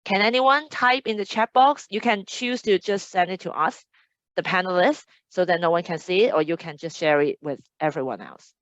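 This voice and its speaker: A woman hosts a casual webinar over a video call, chatty and upbeat as she reads audience comments aloud. Her voice sits fairly low and sounds dry and close. The audio is dull and lo-fi, like a typical online meeting. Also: normal speed, a bit bright, no echo. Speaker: admissions host.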